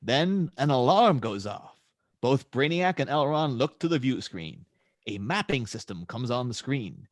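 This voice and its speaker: A man's voice: medium pitch, very animated, measured pace, no room sound, studio quality. A man narrating scene descriptions and stage directions for a script table read.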